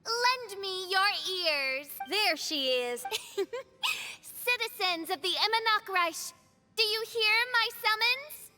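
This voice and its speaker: High, bright, grandiose princess girl. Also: animated, slow pace, long reverb tail, faint music behind, full character voice. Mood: regal, triumphant. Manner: grandiose, archaic, declamatory. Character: theatrical teenage girl styling herself a fantasy princess. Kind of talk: video game character acting.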